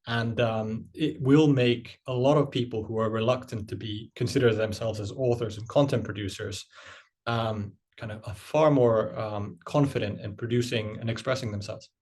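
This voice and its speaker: A man of Finnish origin speaks as a guest on an interview podcast. He thinks aloud, pausing on fillers and restarting phrases. His voice sits at an ordinary middle pitch. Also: steady tone, darkish tone. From Finland. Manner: hesitant, many fillers and restarts. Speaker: guest, marketing executive. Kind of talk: conversational interview answers.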